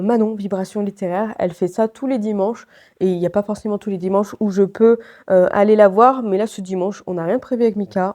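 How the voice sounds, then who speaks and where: A woman's voice: mid-range voice, mellow. A woman with a head cold and a stuffy, nasal voice, chatting casually to camera in a vlog, with many fillers and restarts. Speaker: vlogger.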